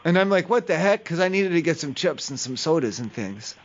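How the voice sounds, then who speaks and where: A man's voice: a little high, expressive, fairly quick. A man making a prank phone call, rambling and persistently asking questions as if confused. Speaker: prank caller. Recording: muffled lo-fi call recording.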